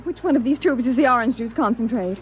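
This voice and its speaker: A woman's voice: fairly high pitch, fast talker, natural voice. An American woman playing a fussy, fretful housewife and mother who coaxes and scolds, in a 1950s radio drama. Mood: scolding. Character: fretful, nagging housewife and mother, flustered but devoted. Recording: noisy vintage 1950s radio broadcast.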